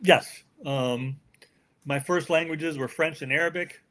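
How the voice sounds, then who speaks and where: A man's voice: fairly high pitch, a little slow, a bit bright. An older man with an American accent, giving folksy, opinionated answers as a guest in a conversational interview. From United States. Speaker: podcast guest, author.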